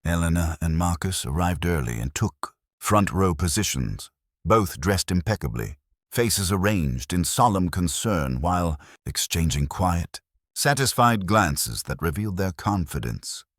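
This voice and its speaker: A man reading a scripted dramatic story as narrator, in a measured, solemn manner. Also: deep voice, lively intonation, no room sound, studio quality.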